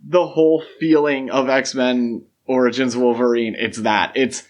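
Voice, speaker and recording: A man's voice: medium pitch, steady tone, measured pace. A man hosting a podcast, discussing film in a casual but detailed, explanatory way. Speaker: podcast host.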